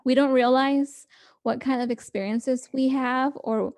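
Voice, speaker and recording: Chatty young woman with a higher voice. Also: steady tone, a little slow, darkish tone. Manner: chatty, laughs often, quotes people in little voices. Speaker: podcast co-host. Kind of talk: casual chat.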